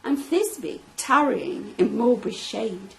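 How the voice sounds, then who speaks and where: A woman playing an earnest, ponderous prologue speaker in an amateur Shakespeare radio play, reciting verse haltingly and emphatically. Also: on the high side, animated, gravelly, poor audio. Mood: ponderous tragic recital.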